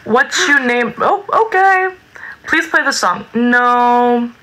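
Bright-voiced young woman live on a phone. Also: fairly high pitch, lively intonation, slow pace, slight background noise, low-quality recording. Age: young adult. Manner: bubbly, reads chat aloud, jokey sing-song repeats. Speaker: livestream host. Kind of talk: casual livestream chat reading comments. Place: small bedroom with string lights. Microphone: phone held at arm's length.

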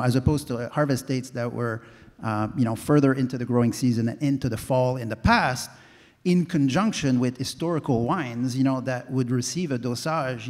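A man in his forties talks into a handheld mic on a panel stage, animated and peppering every sentence with "you know, like". His mid-pitched voice rings out into a long hall reverb. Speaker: panelist, head winemaker. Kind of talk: panel discussion.

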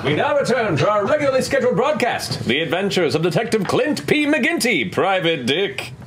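Man doing a 1940s radio announcer, booming, high lively pitch, noisy studio. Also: a little fast. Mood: grand. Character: old-time male radio announcer, grand.

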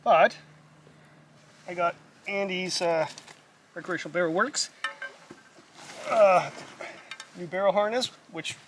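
A man's voice: high voice, slow, long pauses, fairly bright tone, distant mic, a little hiss or hum. A middle-aged man talking casually at an outdoor lakeside campsite, chatty and self-mocking, sometimes tripping over his words. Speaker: vlogger on a canoe trip. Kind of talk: casual camping vlog chat.

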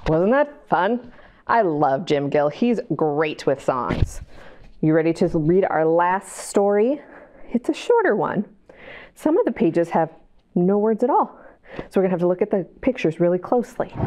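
A woman's voice: fairly low pitch, big pitch swings, a little slow, long pauses, mellow. A middle-aged woman hosting a children's storytime, reading a picture book aloud expressively, with exclamations and questions to her young listeners. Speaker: children's librarian, storytime host. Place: indoor library children's room. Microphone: clip-on lapel microphone.

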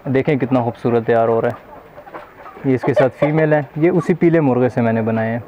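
A man's voice: mid-range voice, fairly quick, a crowd in the background. Young man showing off his poultry. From Pakistan. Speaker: poultry breeder. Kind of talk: casual farm tour explanation. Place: outdoor poultry farm pens. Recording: muffled, noisy with clucking chickens.

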